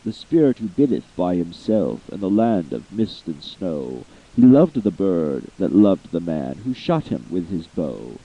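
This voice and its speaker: A man's voice: low voice, sing-song, a little slow, hardly any pauses, slightly rough, muffled recording, voice pushed down, heavily acted. A man voicing an insistent spirit of the air. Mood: grave. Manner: probing, insistent questions. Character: questioning male spirit of the air.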